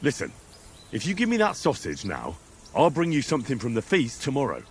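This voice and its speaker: An actor playing a cocky young squire of noble birth in a game, with a cheeky, needling manner. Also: male voice, mid-range voice, huge pitch swings, fairly quick, a little hiss or hum. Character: cocky young male bastard-born squire, sharp-tongued. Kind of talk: video game cutscene acting.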